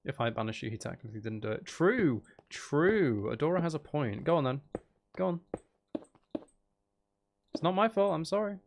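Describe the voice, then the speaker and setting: A man's voice: on the high side, lively intonation, moderate pace, creaky, pauses often. A man giving casual livestream commentary on a game, thinking aloud to his viewers in a musing way. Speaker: game streamer.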